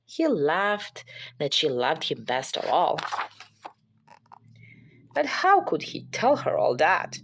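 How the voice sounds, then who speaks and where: A woman's voice: low voice, wildly swooping pitch, takes it slowly, pauses often, a bit bright, slight background noise. A woman reading a children's picture book aloud as a parent would, in scripted storybook narration.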